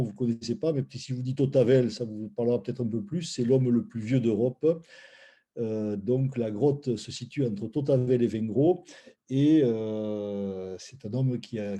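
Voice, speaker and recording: A man's voice: medium pitch, normal speed, mellow, slightly rough. A man, telling his story informally as a guest, in an anecdotal, digressive way. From Roussillon, southern France. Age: 60s. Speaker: founder of a food brand, guest. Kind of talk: informal spoken presentation of life story.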